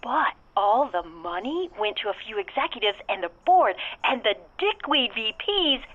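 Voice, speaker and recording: A woman's voice: fairly high pitch, big pitch swings, normal speed, fairly bright tone. An actress playing a brash, crude ex-tech-worker woman in a radio drama, sardonic and slangy through a telephone filter. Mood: mocking, aggrieved. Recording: thin phone line.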